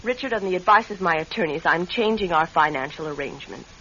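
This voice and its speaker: A cold, controlling businesswoman in a vintage radio play. Her voice is low-set and hard-edged, with formal, commanding delivery, on a dull recording with some hiss. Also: expressive, fast talker, pushed and bright. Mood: angry, stern. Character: imperious wealthy businesswoman wife, cold and controlling. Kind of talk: old-time radio drama acting.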